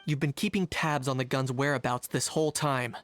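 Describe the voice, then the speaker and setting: An actor playing a cool, unsentimental young man in a game, speaking in a dry, measured, deadpan way. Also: medium pitch, close to an everyday voice. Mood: cool disbelief. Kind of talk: game voice acting. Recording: music behind the voice.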